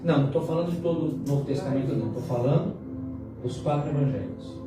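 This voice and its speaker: A Brazilian man in his late fifties preaching a sermon as a pastor, using dramatic pauses and repetition for emphasis. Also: on the high side, lively intonation. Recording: very echoey hall, distant mic, music behind. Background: music.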